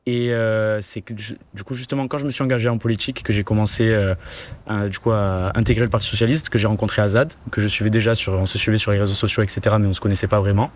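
A twenty-one-year-old man, an interview guest, talking in a relaxed way with frequent fillers. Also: lowish voice, fast talker. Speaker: law student guest. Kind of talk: casual interview.

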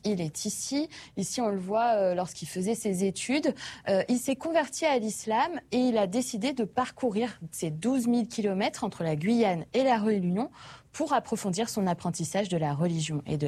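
A woman's voice: mid-range voice, darkish tone, husky. A woman presenting a television segment in a conversational way, putting questions to her co-host. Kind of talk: introducing a report on air.

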